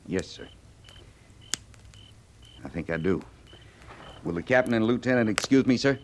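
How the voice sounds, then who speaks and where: A man's voice: fairly low pitch, lively intonation, moderate pace, lightly acted. A man acting a terse, proud, dutiful cavalry sergeant in a 1950s radio western, clipped and formally respectful. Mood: stiff, controlled. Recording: noisy vintage radio recording.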